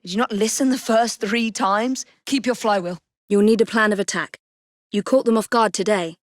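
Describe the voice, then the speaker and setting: A woman with a British accent voicing a poised, determined, dry-witted young female assassin in a video game, with crisp, formal delivery. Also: mid-range voice, fairly even intonation, normal speed, no room sound, close to an everyday voice. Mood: wry, confident. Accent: British English. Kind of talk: scripted video game voice lines.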